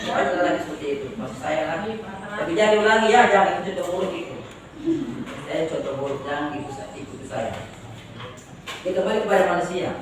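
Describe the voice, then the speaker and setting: High-voiced lecturer in an echoey classroom. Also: male voice, distant mic. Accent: Indonesian, mix of Makassar and Javanese. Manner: teasing students, frequent "ya" tags. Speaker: law lecturer. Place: university lecture room. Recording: echoey classroom from afar, noisy.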